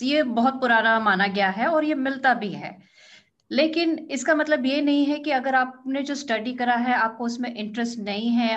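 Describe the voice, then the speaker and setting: A woman in her thirties with a slightly raised pitch speaks as an interview guest. She explains things articulately, code-switching between Hindi and English with a faint British tinge. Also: a bit bright. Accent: Indian English with a British tinge. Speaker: interview guest, business analyst. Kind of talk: conversational interview answers.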